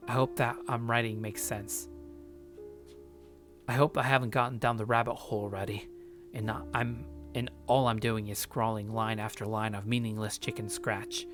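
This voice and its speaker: A man's voice: lowish voice, little pitch movement, moderate pace, over music, natural voice. A man playing a paranoid, sardonic young man narrating his journal in a visual novel, curt and brooding. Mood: brooding, bitter.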